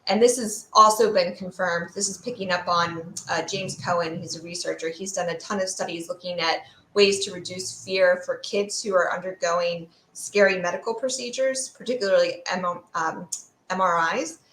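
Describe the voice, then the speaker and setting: A woman with a fairly low voice speaks as an expert guest in an interview. She explains ideas in a thoughtful, academic and discursive way. The sound is roomy and echoey. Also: normal speed, a bit bright, a little hiss or hum. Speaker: guest expert, fear sociologist.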